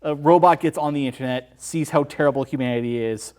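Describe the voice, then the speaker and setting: A man's voice: on the high side, brisk, darkish tone. Man chatting as a film show guest. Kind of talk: casual film discussion.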